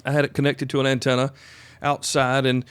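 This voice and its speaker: A man's voice: medium pitch, monotone, brisk, fairly bright tone, clean tone, dry recording. An American man of about fifty hosting a podcast solo, talking casually in a folksy, rambling, reassuring way. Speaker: podcast host.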